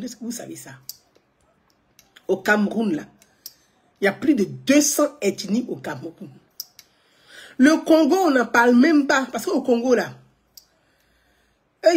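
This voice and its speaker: A woman's voice: fairly high pitch, a little mellow, slightly rough. A woman, giving live political commentary to viewers, emphatic, repeating her points and asking rhetorical questions.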